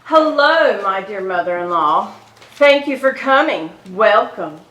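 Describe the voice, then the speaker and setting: Female actor for bitter pagan queen mother, stage drama: imperious, cutting bright voice, scornful delivery, roomy acoustic. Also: medium pitch, expressive, unhurried. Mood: false sweetness. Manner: haughty, mocking, declamatory. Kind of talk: theatrical stage reading. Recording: echoey stage hall.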